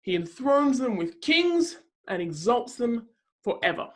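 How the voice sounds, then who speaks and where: A woman playing a zealous counselor who proclaims God's might in a declamatory, dramatic scripture reading with deliberate pauses. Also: fairly high pitch, very animated. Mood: earnest, assured.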